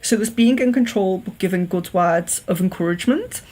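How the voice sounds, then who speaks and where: An English woman from near Liverpool with a slight Liverpool accent, reading palms on a livestream in a careful, clear telephone voice with frequent ums and restarts. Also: mid-range voice, normal speed, dark tone. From England. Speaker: psychic livestream host.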